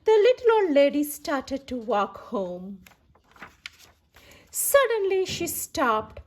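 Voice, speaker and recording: A woman hosts a children's read-aloud in a high voice. Her intonation moves up and down a lot, and she takes her time, stressing repeated words. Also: sing-song, slow, darkish tone. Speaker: children's story reader and host.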